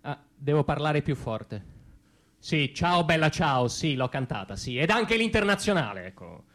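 A man acting a defensive, evasive ordinary father in a halting, repetitive theatrical monologue. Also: fairly high pitch, sing-song, a bit bright, exaggerated voice. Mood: evasive, flustered. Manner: hesitant, halting, repeating himself. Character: defensive ordinary middle-class father, evasive about his past. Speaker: stage actor performing a monologue. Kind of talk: theatrical comic monologue, as if answering questions.

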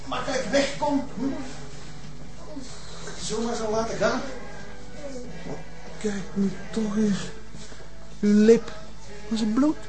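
Flemish kidnapper, sinister, taunting, raised pitch, very varied intonation, music underneath. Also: male voice, slow pace, pauses often, poor audio. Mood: threatening. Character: menacing, bitter male kidnapper taunting his captive. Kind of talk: television crime drama acting.

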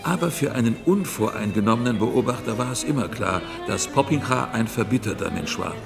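A judgmental club chairman in a radio drama. His mid-pitched voice is creaky and his delivery deliberate and self-righteous. Music plays underneath. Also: animated. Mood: disapproving. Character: stuffy, judgmental male chess club chairman. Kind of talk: German radio play acting.

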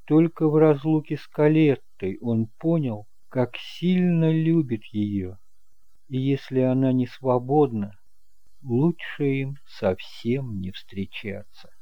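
A man narrating romantic fiction as an audiobook, telling the story in a measured, expressive way. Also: medium pitch, no room sound, dull audio.

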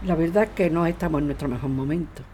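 A woman playing a worried, worn-down working grandmother in radio drama dialogue, with a husky, dark voice. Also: low-pitched, fast talker, a little hiss or hum, lightly acted. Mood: weary, worried. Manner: weary, anxious.

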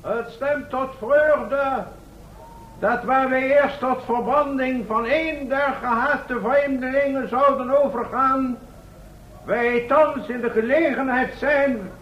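An actor playing a pompous town ruler who proclaims harsh sentences in ceremonious, formal language in a radio play. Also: male voice, extremely high pitch. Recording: noisy, dull old radio recording, distant echo.

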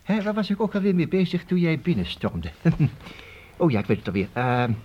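An actor playing an eccentric, kindly older inventor professor in a children's audio drama, stammering, chuckling and repeating himself absent-mindedly. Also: male voice, a little high, very animated, a little fast, muffled recording, slight background noise. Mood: musing, absent-minded.

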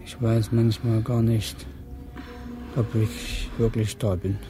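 A man's voice: lowish voice, little pitch movement, slow pace, music in the background. An actor playing a withdrawn, brooding young man in a radio play monologue, speaking in short repetitive phrases. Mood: brooding, numb. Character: withdrawn, brooding young male driver, passive onlooker.

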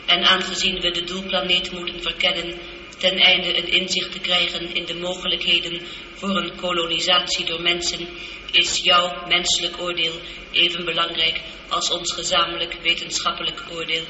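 A woman's voice: on the low side, completely flat delivery, bright, forceful tone. Echoing, polite female ship's computer. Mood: calm, matter-of-fact. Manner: measured, formal, precise delivery. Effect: reverberant computer voice effect. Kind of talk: science fiction radio drama acting. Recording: echoey, dull, lightly noisy radio recording.

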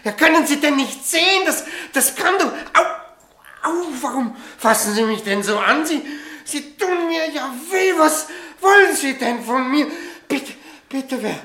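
A man playing a highly strung, impatient doctor gripped by chess mania in a radio drama, agitated and repeating himself. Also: expressive, measured pace, pushed and bright, heavily acted. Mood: frantic, confused. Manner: agitated, stammering, repeating words.

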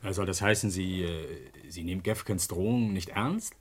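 A deferential junior officer in a radio crime play. His voice is lowish and husky, and he speaks politely, with some hesitation. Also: normal speed. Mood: deferential, doubtful. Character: deferential, conscientious junior police colleague. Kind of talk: German radio crime drama acting.

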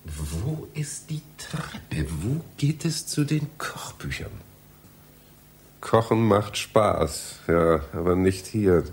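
A man's voice: sits low, expressive, slow pace, heavily acted. An actor in a radio play playing a fussy, bewildered male library reader who talks in a theatrical, pedantic way. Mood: muttering, bewildered. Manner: theatrical, pedantic, muttering to himself.

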